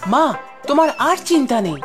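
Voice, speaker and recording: Woman doing an eager, high-voiced village boy. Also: very animated, moderate pace, faint music behind. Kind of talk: animated cartoon dialogue.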